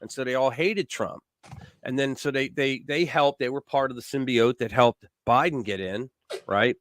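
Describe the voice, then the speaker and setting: A man hosting a loose political chat show, circling back and repeating himself as he works through a point. His voice is recorded dry and close, with no room sound. His pitch sits in the middle range for a man. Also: moderate pace, fairly bright tone, no echo. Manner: rambling, repeats words while building a point. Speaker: host of a political call-in show.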